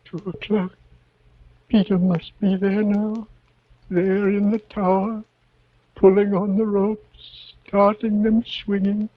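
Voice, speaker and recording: An actor playing a frail, sentimental old father near death, speaking haltingly in a weak voice, in a 1940s radio drama. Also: high-pitched, flat delivery, no echo. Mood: rapturous nostalgia. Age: older. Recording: muffled vintage radio broadcast, faint music.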